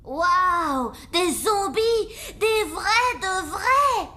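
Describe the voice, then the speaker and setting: A curious human schoolgirl, very high and bright, talking slowly and eagerly. Cartoon voice with faint music under it. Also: full character voice. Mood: excited. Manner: eager, excitable. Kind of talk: cartoon voice acting.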